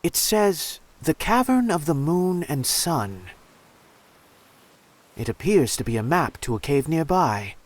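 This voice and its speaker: An actor playing a courteous, composed, analytical male detective in a video game, with formal, deliberate phrasing. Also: fairly high pitch, big pitch swings.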